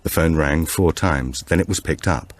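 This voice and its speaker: A man reading a supernatural thriller audiobook in a measured, suspenseful storytelling manner. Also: rumbling low, no echo. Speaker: audiobook narrator. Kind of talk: scripted narration of a supernatural thriller.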